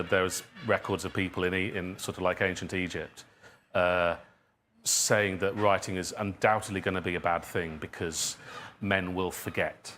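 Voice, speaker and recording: Low-voiced man thinking aloud at a talk. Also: fairly bright tone, slight background noise. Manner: halting, circling and repeating phrases, chuckles at own remarks. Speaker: speaker, writer of audio drama. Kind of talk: conversational public talk answering audience questions. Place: indoor public event venue.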